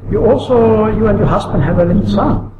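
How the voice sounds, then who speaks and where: A man's voice: high voice, brisk. Male radio host, casual non-native English, repeats answers, very noisy and echoey recording. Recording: echoey room, dull sound, loud noise.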